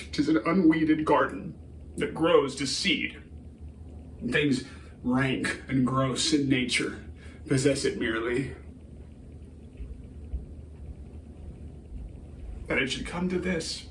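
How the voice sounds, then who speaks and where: A man playing a grieving, embittered prince in a theatrical Shakespearean soliloquy, halting and declamatory, moving between hushed brooding and anguished outcry. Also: medium pitch. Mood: weary despair. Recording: roomy, distant microphone, faint noise.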